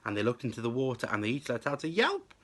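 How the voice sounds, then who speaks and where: A man reading a children's storybook aloud like a teacher to pupils, with expressive, emphatic, rhythmic delivery. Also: mid-range voice, quick pace.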